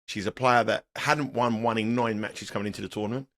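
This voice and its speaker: A middle-aged man with a sore throat, sounding croaky as he talks tennis bets in a rambling, restarting way. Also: fairly low pitch, fairly even intonation, a little fast, fairly bright tone. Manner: rambling, repeats words and restarts. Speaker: tennis betting analyst. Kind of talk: casual podcast chat.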